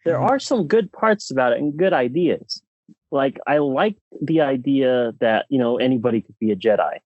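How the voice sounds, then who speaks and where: A man with a mid-pitched voice talks casually as a podcast co-host. He is opinionated and emphatic, and the sound is close and dry with little room in it. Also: animated, normal speed, no echo, narrow, tinny audio. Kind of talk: casual film discussion.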